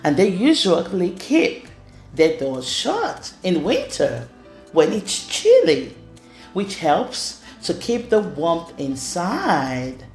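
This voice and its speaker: A woman reading a rhyming children's storybook aloud in an expressive way. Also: on the low side, takes it slowly. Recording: roomy echo with music underneath. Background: music.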